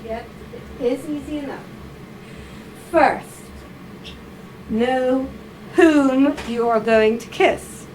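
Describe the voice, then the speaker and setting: A woman with a fairly high voice reads slowly and deliberately at a live event, stopping to coax and tease her volunteers. Her tone is playful and often breaks into laughter. The recording is noisy, with room ambience underneath. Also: a little mellow. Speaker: reader at a writers' salon. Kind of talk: reading aloud and directing volunteers.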